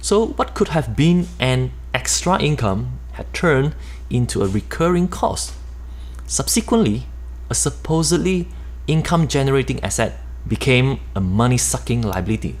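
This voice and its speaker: Malaysian man reading a money audiobook. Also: mid-range voice. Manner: steady, explanatory read-aloud delivery. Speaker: author narrating his own book. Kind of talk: nonfiction audiobook narration.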